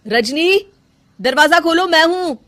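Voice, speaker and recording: A woman's voice: very high voice, expressive, a little slow, a bit bright, dry recording, pitched above the performer's own voice, exaggerated voice. A woman voicing a caring, earnest young husband in a cartoon moral story. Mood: concerned, insistent. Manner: earnest, insistent.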